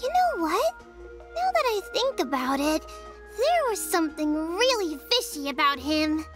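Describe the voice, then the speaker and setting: High-pitched eager child storyteller. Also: female voice, very animated, unhurried, fairly bright tone, big-room sound, soft background music, heavily acted. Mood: insistent. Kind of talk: scripted video game voice acting.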